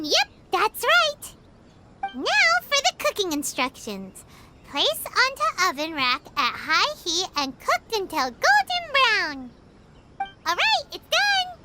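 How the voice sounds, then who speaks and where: A woman's voice: very high voice, very noisy, full character voice. A woman voicing a tiny, bubbly fairy companion who talks about herself in the third person. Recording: game audio over loud music.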